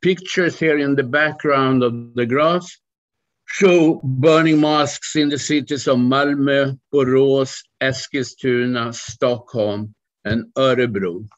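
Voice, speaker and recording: A middle-aged man from Sweden giving a recorded academic lecture over slides in a measured, deliberate way, now and then restarting a word, recorded on a webcam video call. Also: medium pitch, steady tone, lo-fi sound.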